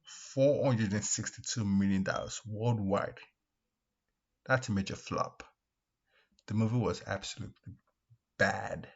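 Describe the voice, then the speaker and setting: Husky low-voiced man, repetitive and halting. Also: fairly even intonation. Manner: repetitive, emphatic, halting with restarts. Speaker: video host, commentator. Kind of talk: casual opinion commentary, reacting to comments. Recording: dull, lo-fi microphone sound.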